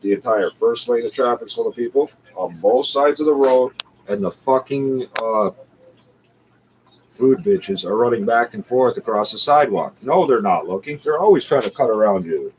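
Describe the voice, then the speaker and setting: A man's voice: medium pitch, lively intonation, normal speed. A man giving blunt, profane, sardonic off-the-cuff running commentary as he talks back at a radio broadcast. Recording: dull, noisy lo-fi recording.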